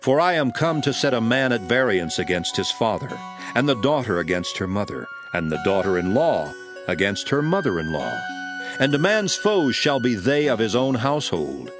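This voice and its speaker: An actor playing a solemn, authoritative religious master who exhorts his disciples earnestly in a measured, declamatory King James scripture drama. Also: medium pitch, very animated, close to an everyday voice. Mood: earnest, exhorting. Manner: measured, declamatory, emphatic preaching delivery. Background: music behind the voice.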